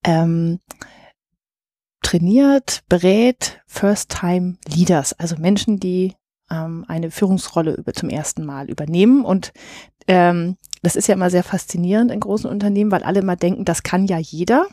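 Mellow-voiced female podcast host, husky. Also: on the low side, moderate pace, no echo, studio quality. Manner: hesitant, many fillers and restarts. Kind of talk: conversational interview. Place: coworking space.

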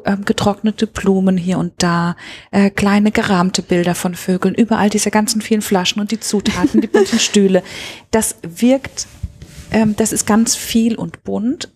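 Husky-voiced woman interviewer, thinking aloud. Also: medium pitch, a little mellow. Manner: thinking aloud, halting, searching for words. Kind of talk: casual conversational interview.

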